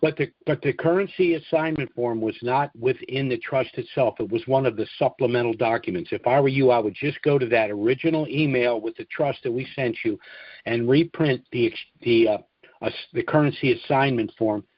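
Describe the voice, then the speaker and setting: A man's voice: mid-range voice, fairly quick, dry recording. A man with a mid-range voice hosts a question-and-answer conference call. He explains things informally but emphatically, often restating his instructions. The audio is lo-fi and muffled, with very little room sound. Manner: instructive, emphatic, repeats points. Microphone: conference call line.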